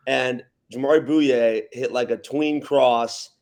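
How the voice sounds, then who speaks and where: A man's voice: medium pitch, steady tone, takes it slowly, a little mellow. A man guesting on a sports podcast, chatting casually and emphatically, repeating himself to press a point. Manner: emphatic, repeats questions for effect. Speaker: podcast guest, arena PA announcer.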